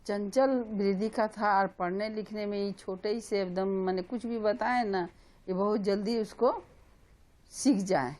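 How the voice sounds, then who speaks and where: A woman's voice: medium pitch, steady tone, a little slow, a little mellow. An older woman over 60, a grandmother, talks in a homely, rambling way. Her sentences wander as she chats plainly. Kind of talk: casual interview.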